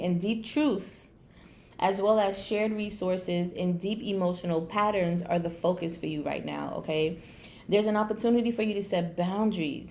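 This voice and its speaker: A raspy-voiced woman with a slight cold, giving a casual, conversational tarot and astrology reading to viewers, with playful asides. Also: on the low side, a little mellow. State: getting over sneezing and coughing. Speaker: online tarot and astrology reader.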